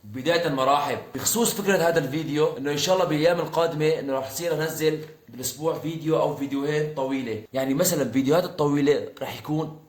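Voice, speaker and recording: A man's voice: fairly high pitch, racing, hardly any pauses. A man in his twenties from Syria with a Syrian Arabic accent, talking casually to followers as a vlogger. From Aleppo, Syria. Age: 20s. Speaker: YouTube content creator. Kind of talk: casual vlog answering follower questions.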